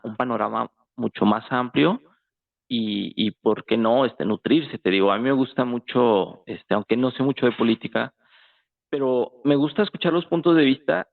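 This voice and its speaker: A man's voice: mid-range voice, gravelly. A man with a Mexican accent in casual, conversational discussion, talking colloquially and checking in with tag questions. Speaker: guest in a political discussion. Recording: tinny phone-like, roomy echo.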